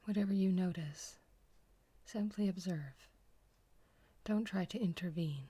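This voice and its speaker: A woman leading a guided meditation, speaking softly in a breathy, half-whispered voice with slow, gentle repetition. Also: on the low side.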